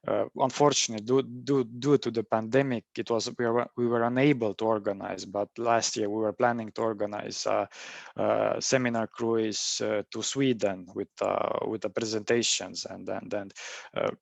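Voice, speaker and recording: A man's voice: lowish voice, vocal fry, no echo. A young man from Estonia with an Estonian accent speaks in a career webinar, hesitating often and repeating "and, and" as he restarts his sentences. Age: young adult. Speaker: mechanical engineer on a career panel. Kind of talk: webinar talk.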